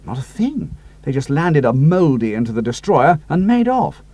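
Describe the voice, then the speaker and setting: A man's voice: on the high side, very animated, moderate pace, warm tone. A man doing Algy, an earnest sidekick pilot. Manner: earnest and a little doubtful. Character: loyal British pilot sidekick, cautious and earnest.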